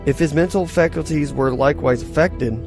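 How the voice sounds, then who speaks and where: A man's voice: a little high, a little fast, lightly acted. A man playing an earnest, formal starship lieutenant in a science fiction audio drama, speaking in a matter-of-fact way. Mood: urgent. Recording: music behind the dialogue.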